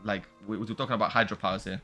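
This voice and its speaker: A man's voice: on the low side, rapid-fire. A young man giving casual, slangy game playthrough commentary and reading dialogue aloud as he plays. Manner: slangy, animated reactions. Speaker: game streamer. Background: game music.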